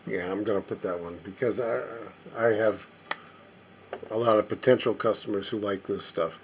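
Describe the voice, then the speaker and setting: A middle-aged or older man giving casual, unscripted show-and-tell commentary as a collector, halting and musing aloud as he inspects things. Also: lowish voice, fairly even intonation, a little hiss or hum. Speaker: record collector presenting finds.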